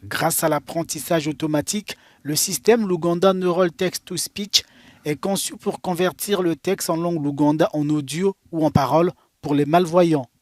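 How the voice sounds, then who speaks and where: A man reading a news report as voice-over in a measured way. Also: a little high, no room sound. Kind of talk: voice-over narration.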